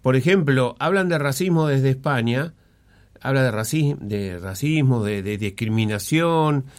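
This is a man hosting a radio interview. He speaks in a halting, searching way, repeating words as he works through a question. His voice sits in an ordinary middle pitch. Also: expressive. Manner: hesitant, puzzled, halting phrasing. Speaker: radio host.

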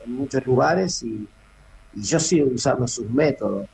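A man's voice: medium pitch, expressive, normal speed, vocal fry, a little mellow, a little hiss or hum, poor audio. A middle-aged man from Cape Verde, a former footballer talking as a phone guest in a casual radio interview, hesitant and full of restarts and fillers. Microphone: phone call.

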